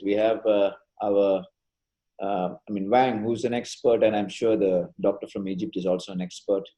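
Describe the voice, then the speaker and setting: A man, a neurosurgeon, giving an animated webinar lecture over a video call, with lots of rhetorical questions. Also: lowish voice, dull audio.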